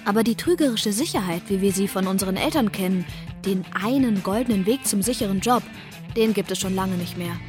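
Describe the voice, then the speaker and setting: A woman in her twenties reading a scripted, reflective narration calmly. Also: mid-range voice, fairly quick. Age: 20s. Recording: music underneath.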